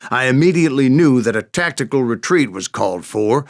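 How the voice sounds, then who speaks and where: An actor playing a grizzled old Wild West gunslinger in a video game, telling boastful tales in a folksy, theatrical American Western drawl with a gravelly voice. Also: male voice, medium pitch, lively intonation, fairly quick, lightly acted. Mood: vengeful, dramatic. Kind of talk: dramatic first-person storytelling narration for a western game.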